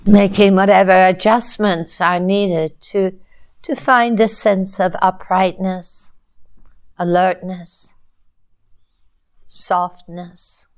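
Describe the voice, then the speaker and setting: A woman teaching a guided meditation in a calm, measured way, with long pauses between short phrases. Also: mid-range voice.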